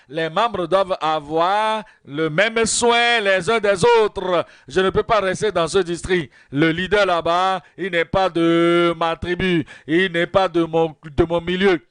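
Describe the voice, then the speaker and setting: A man preaching at a Bible study, repeating verse references and key phrases in a slow, measured teaching manner. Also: high-pitched, fairly bright tone, clean tone, no room sound, studio quality. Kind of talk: sermon.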